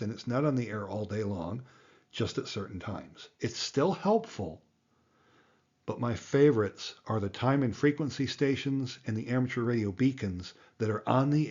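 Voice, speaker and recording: A man's voice: mid-range voice, darkish tone, dull audio. A man giving a plain-spoken, emphatic tutorial as an instructor, explaining things step by step. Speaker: amateur radio instructor and presenter.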